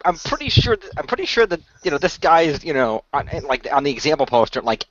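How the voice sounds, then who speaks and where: A man's voice: a little high, sing-song, fast, fairly bright tone, dull audio. A man improvising in-character dialogue in a radio role play, explaining things in a matter-of-fact way.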